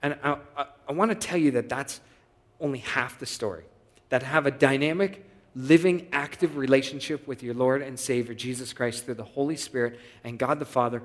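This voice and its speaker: A man preaching a sermon and giving announcements to his congregation in a relaxed, conversational way with frequent filler pauses. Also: medium pitch, long reverb tail. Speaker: pastor. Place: church sanctuary.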